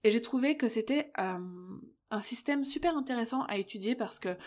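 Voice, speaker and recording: A woman's voice: a little high, fairly quick, a little mellow. A woman in her thirties hosting a podcast, talking in a friendly, chatty way and speaking directly to the listener. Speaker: podcast host. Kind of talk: podcast hosting, casual interview.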